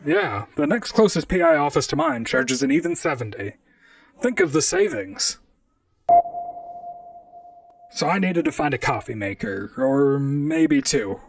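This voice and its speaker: A man playing a wry, deadpan robot private detective in a noir audio drama, both narrating and talking to others. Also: high-pitched, moderate pace, creaky, distant mic, slight background noise, exaggerated voice. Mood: wry, musing. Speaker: narrator and lead character.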